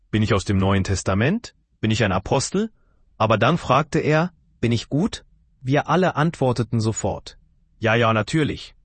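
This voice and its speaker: A man preaching a sermon to his congregation, asking searching questions in an earnest, measured way. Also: medium pitch, breathy edge, no room sound.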